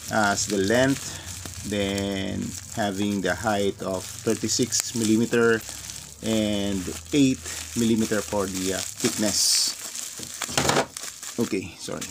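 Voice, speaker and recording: A Filipino man whose first language is Tagalog, hesitantly talking through an unboxing with many fillers, at a desk indoors. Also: on the low side. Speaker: vlogger. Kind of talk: casual unboxing talk. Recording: clear crinkling noise behind. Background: plastic crinkling and clicks.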